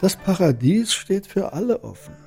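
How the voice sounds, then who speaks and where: An older man playing a kindly, wry old shopkeeper in a radio play, fatherly, gently teasing and deliberate. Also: sits high, big pitch swings, creaky, soft background music, exaggerated voice. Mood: wry, gentle.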